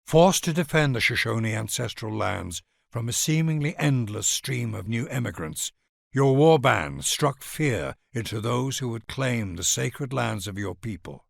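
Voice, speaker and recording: Male game narrator, gravelly, dry close sound, solemn, grandiose, formal storytelling. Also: medium pitch, animated, measured pace, no echo. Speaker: narrator of game leader introductions. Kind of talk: scripted dramatic narration in a video game.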